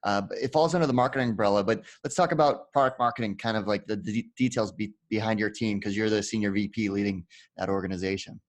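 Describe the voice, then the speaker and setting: A man's voice: on the low side, quick pace. A middle-aged man interviewing a guest in a conversational way, setting up each question.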